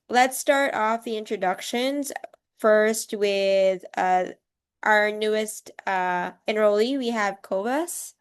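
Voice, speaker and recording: A woman's voice: medium pitch, clear, resonant tone. A woman coordinating an online group meeting, casually leading everyone through introductions over a video call. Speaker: program coordinator.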